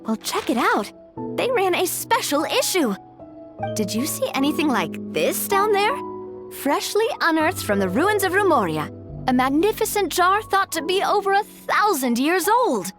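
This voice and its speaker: An actress playing a lively young woman in a video game, talking in an animated, expressive way. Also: extremely high pitch, moderate pace, soft background music, heavily acted.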